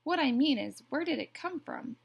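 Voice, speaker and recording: A woman's voice: high-pitched, lively intonation, normal speed, gravelly, voice pushed up. A woman voicing a bossy, indignant male duck in a children's picture book, scolding in a huffy, exclamatory way.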